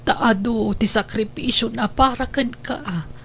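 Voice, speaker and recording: A woman with an Ilocano accent acting a gentle, devoted, sorrowful mother in a radio drama, with emotive delivery. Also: on the high side, a little slow, gravelly, a little hiss or hum. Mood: gentle pleading.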